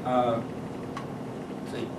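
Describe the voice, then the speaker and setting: A man introducing a guest speaker at an event, halting with many fillers. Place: meeting room with audience. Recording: roomy, distant mic, background noise.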